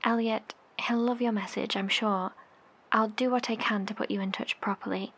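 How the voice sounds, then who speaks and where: An actress in an audio horror drama playing a worried, caring survivor broadcaster, hesitant, gentle and breathy. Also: female voice, mid-range voice, fairly even intonation, moderate pace. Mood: sad, caring.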